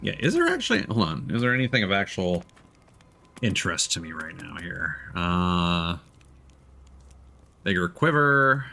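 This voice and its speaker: A man's voice: deep voice, huge pitch swings, measured pace, gravelly, long pauses, bright, forceful tone. A man doing casual live gameplay commentary as a streamer, musing and thinking aloud. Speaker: game streamer.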